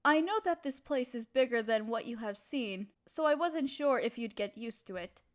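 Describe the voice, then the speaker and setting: A woman playing a kind, proper young noble mistress in an intimate audio roleplay, speaking in formal, gently hesitant phrases to her listener. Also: fairly high pitch, animated, normal speed, close to an everyday voice. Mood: caring, relieved.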